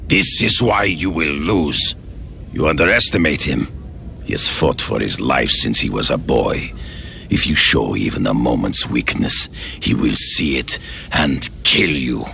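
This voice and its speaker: An actor playing a stern, proud Russian hunter who speaks formally, in a game cutscene. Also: male voice, expressive, unhurried, pushed and bright, slight background noise, heavily acted. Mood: grave. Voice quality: deep gravelly, formal.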